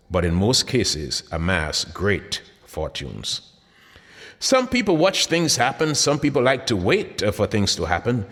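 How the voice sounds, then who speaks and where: A man reading his own motivational audiobook in an emphatic, deliberate style with dramatic pauses. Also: lowish voice, fairly bright tone. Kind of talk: scripted motivational audiobook narration. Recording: long hall-like reverb.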